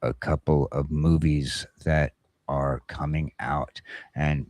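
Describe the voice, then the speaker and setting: A man hosting a casual livestream chat, talking in a laid-back, halting, chatty way. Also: rumbling low, flat delivery, a little mellow, no echo, studio quality. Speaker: talk show host.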